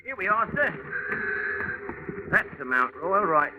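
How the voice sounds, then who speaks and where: An actor playing a chatty, deferential London Cockney cab driver in an old radio drama. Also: male voice, high voice, sing-song, slow, exaggerated voice. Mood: chirpy, helpful. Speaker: taxi driver. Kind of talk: 1940s radio acting. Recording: noisy, dull old radio recording.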